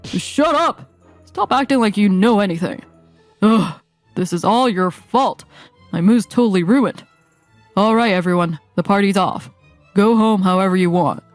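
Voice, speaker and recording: A domineering, arrogant husband and office boss, played by a woman in a manga dub. He talks down to everyone and snaps into angry shouting whenever he is crossed. The voice sits at an ordinary middle pitch, with faint music underneath. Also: no echo, close to an everyday voice. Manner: domineering, scornful, quick to shout.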